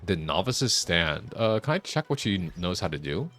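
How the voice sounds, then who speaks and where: A man's voice: low-pitched, very animated, normal speed, a bit bright. A man doing casual live gameplay commentary, rambling and musing to himself as he streams. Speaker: game streamer. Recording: faint game music behind the voice.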